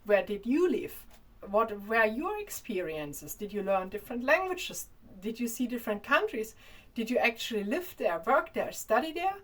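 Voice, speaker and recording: A woman's voice: a little high, big pitch swings, normal speed, a bit bright. A woman from Germany with a strong German accent, talking casually to camera in a vlog, often repeating words and restarting her sentences. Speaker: vlogger.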